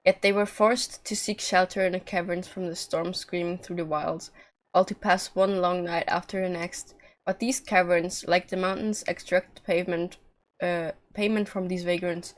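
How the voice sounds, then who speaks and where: A woman's voice: lowish voice, level tone, normal speed. A woman streaming a video game live, speaking informally and bluntly, sometimes reading aloud.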